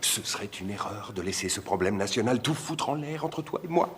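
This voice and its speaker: A man's voice: lowish voice, lively intonation, brisk, long reverb tail, close to an everyday voice. A man playing a state governor in a dubbed film, speaking with insistence and urgency. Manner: pleading, insistent.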